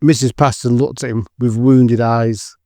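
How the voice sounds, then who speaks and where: A man's voice: medium pitch, fairly even intonation, moderate pace, mellow, no room sound, studio quality. A man giving scripted dramatic narration for an audio drama.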